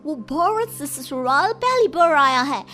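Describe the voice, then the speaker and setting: A woman's voice: sits high, sing-song, a little slow, sharp tone, soft background music, exaggerated voice. A woman voicing a loyal, defiant young Chinese wife in a cartoon story, pleading and melodramatic. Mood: indignant.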